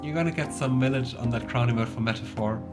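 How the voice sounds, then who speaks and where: A woman's voice: rumbling low, fast. A woman chatting casually and playfully as she livestreams a video game. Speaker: streamer playing and voicing a video game. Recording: game music behind the voice.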